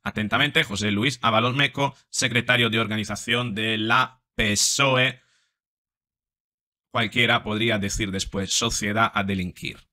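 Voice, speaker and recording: Bright-voiced emphatic man commenting. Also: fairly low pitch, steady tone, a little slow. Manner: emphatic, sarcastic asides between read quotes. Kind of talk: political commentary reading documents. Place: small room indoors.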